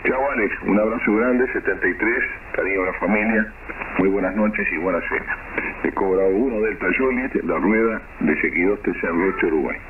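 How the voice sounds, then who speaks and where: A man with a low voice and a Rioplatense accent chats on amateur radio. He comes through a shortwave transmission buried in heavy static, sounding distant and relaxed in wording, like casual talk between operators. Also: fairly quick, phone-quality sound. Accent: Rioplatense Spanish. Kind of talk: casual chat over ham radio. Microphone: dual-capsule radio desk or hand microphone.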